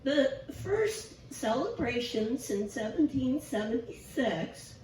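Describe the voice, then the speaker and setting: Woman lay preacher, squeaky and raspy, self-deprecating, slow delivery, distant echoey church recording with faint hiss. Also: animated, darkish tone. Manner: chatty, self-deprecating, asks the congregation questions. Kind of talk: informal sermon. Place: church sanctuary.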